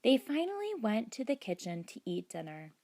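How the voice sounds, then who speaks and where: A woman reading a children's book aloud as narrator, in a deliberate, expressive storytelling manner. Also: a little high. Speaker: storybook reader.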